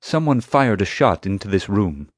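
A man's voice: fairly low pitch, very animated, normal speed, husky, no echo. Audiobook role: male wildlife security expert, voiced by a male narrator, earnest, firm and challenging, dry studio sound. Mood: urgent. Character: earnest, blunt male rhino poaching expert. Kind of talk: audiobook character dialogue.

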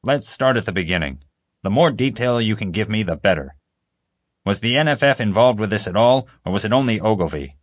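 A male narrator acts as a stern investigator in an audiobook. He is controlled and firm, with a low voice and measured, accusing delivery. The recording is dry. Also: animated, no echo. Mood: firm questioning.

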